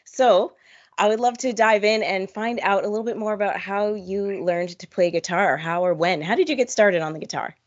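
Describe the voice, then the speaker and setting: A woman's voice: mid-range voice, moderate pace, muffled recording. A woman hosting a podcast, asking casual interview questions and giving encouraging responses. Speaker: podcast host.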